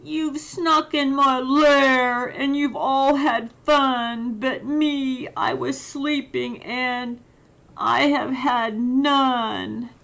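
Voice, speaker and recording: A big, self-pitying storybook bear voiced by a woman reading aloud. It grumbles and whines loudly, the pitch pushed up as it blubbers. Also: steady tone, drawn-out words, creaky, exaggerated voice, low-quality recording. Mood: indignant, whimpering. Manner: loud, whining, blubbery. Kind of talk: picture-book read-aloud.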